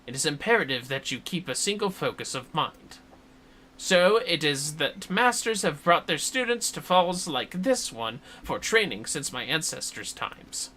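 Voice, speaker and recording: A woman voicing a solemn shinobi master from a game, instructing a pupil in a grave, measured, formal manner. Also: sits low, sing-song, fairly bright tone, slight background noise, voice pushed down, heavily acted. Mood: solemn, reverent. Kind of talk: game dialogue read aloud.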